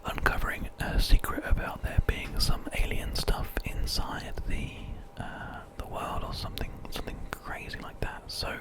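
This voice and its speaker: British male ASMR host for game commentary; constant breathy whisper, gentle and unhurried. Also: low-quality recording. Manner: soft, gentle, unhurried rambling.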